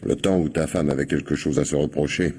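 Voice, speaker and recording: A man's voice: a bass voice, steady tone, brisk, dark tone, husky. An actor playing a wry, indulgent old father in an old French radio drama, musing and sly. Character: wry, indulgent old father teasing his grown son. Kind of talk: old French radio comedy-mystery acting.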